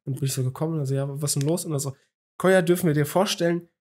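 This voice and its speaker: A man's voice: a little high, racing, darkish tone. A young man talks casually on a home podcast, rambling along with many fillers and restarts. He sits close to a studio microphone in a small room at home. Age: young adult. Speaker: podcast host. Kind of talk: casual chat. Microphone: studio mic on a boom arm, video call.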